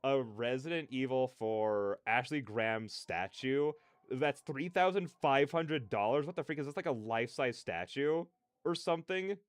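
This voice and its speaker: A man's voice: medium pitch, lively intonation, normal speed, clean tone, no room sound. A man hosting a livestream, chatting casually in a rambling, slangy, digressive way. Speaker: livestreamer hosting a reaction stream.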